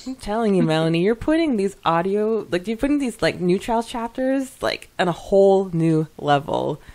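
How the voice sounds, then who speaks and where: A woman co-hosting a podcast, chatting casually and reading comments aloud in an enthusiastic, halting way with repeated starts. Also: medium pitch, lively intonation, darkish tone. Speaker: podcast co-host.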